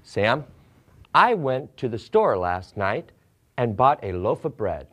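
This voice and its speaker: An American man in his forties teaching English in a deliberate, enunciated way with simple scripted sentences. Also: mid-range voice, big pitch swings, a bit bright. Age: 40s. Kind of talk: slow scripted language lesson with simple modelled sentences. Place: small classroom set, indoors.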